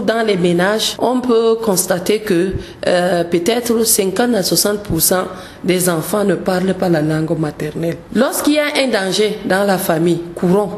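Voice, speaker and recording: A woman's voice: lowish voice, darkish tone, reverberant, a little hiss or hum. A woman, a gospel singer speaking earnestly as a radio guest, often repeating words as she searches for them. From Cameroon. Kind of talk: radio interview.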